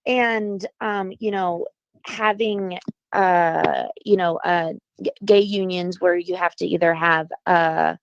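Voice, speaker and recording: A woman's voice: fairly low pitch, steady tone, takes it slowly, gravelly, no room sound. A woman calling in to a radio talk show, with a froggy just-woken voice and hesitant talk full of fillers and restarts. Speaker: caller. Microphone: phone. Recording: dull, muffled phone line.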